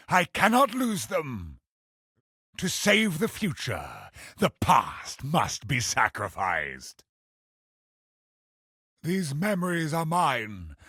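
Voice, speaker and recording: An actor playing an ancient, imperious male cosmic villain in a video game, older and husky, with portentous, theatrical delivery. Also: fairly high pitch, bright, forceful tone, dry recording, clean studio sound, full character voice. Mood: commanding, urgent. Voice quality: gravelly, husky. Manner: slow, portentous, theatrical pronouncements.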